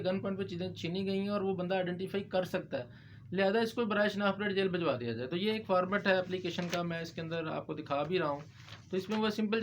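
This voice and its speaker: A man's voice: sits high, a little hiss or hum. A man with a Pakistani accent giving an informal legal explanation to viewers as a lawyer, mixing in English legal terms.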